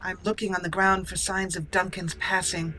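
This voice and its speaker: A woman's voice: on the low side, flat delivery, moderate pace, pushed and bright, soft background music. A woman giving casual roleplay commentary as she plays a game, talking to her character and musing in role. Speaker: gaming channel host. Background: video game sounds.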